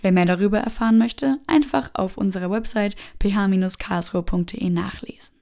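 A woman hosting a campus podcast, reading out event tips in an upbeat, clearly read style. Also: mid-range voice, brisk, warm tone. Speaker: podcast host. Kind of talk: scripted event announcements.

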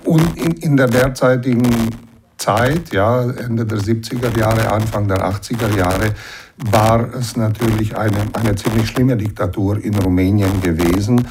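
A middle-aged man from western Romania's Banat region speaks in a fairly low voice, working through his answers patiently and explaining as he goes. Ums and ers thread through his sentences. A steady hiss of noise sits under the recording. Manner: measured, explanatory, with frequent fillers. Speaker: interview guest. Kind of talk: reflective interview answers.

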